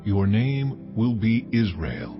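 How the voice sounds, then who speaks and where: A majestic God in a scripture drama. The voice is low, slow and weighty with solemn authority, over music on a slightly dull recording. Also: male voice, very animated. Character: almighty God, solemn, majestic male deity. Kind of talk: dramatized audiobook scripture acting. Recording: muffled audio under music.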